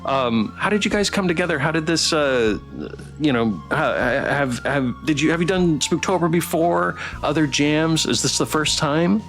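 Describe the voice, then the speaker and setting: A man hosting a game stream, chatting casually and appreciatively in a halting way as he interviews guests. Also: on the high side, a bit bright, soft background music. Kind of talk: casual live interview chat.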